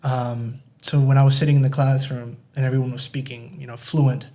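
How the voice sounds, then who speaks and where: A man's voice: mid-range voice, flat delivery, fairly quick, dark tone. A man from Spain whose first language is Spanish, speaking English as a podcast guest, hesitant and full of "um"s. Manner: hesitant, with many "um"s and "you know"s. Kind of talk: casual chat.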